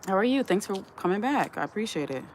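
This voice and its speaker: A woman's voice: medium pitch, big pitch swings, quick pace, slight background noise. A woman hosting a live stream in a casual chat, reading viewer comments aloud, answering them and giving earnest advice. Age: adult. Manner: earnest, advising tone. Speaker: livestream host.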